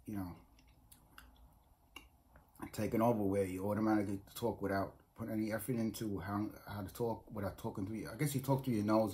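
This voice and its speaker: A man's voice: lowish voice, mellow. A middle-aged man with a New York accent, rambling casually to a close phone camera in a small room at home, often restarting his sentences. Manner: rambling, repeats himself, frequent restarts. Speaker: vlogger. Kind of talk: casual food review vlog. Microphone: phone or webcam close up.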